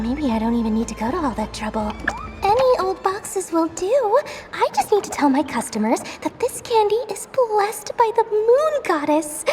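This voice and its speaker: Very high, animated girlish schemer. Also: full character voice. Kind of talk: anime game cutscene acting.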